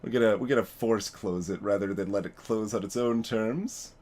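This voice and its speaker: A man's voice: fairly low pitch, moderate pace, loud music behind the voice. A man giving casual gaming commentary, musing aloud and deliberating as he goes. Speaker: let's play streamer.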